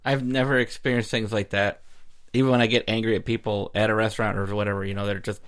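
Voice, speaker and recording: A man's voice: on the low side, little pitch movement, quick pace, a bit bright, clean tone. A man co-hosting a podcast in casual chat, chiming in briefly and agreeing. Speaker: podcast co-host.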